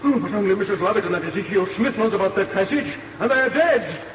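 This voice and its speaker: An actor playing a gloating, crazed murderous barber villain in a theatrical, taunting vintage radio melodrama style. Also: male voice, high voice, lively intonation, fast talker. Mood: panicked. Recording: old radio recording, echoey, distant, loud rain noise.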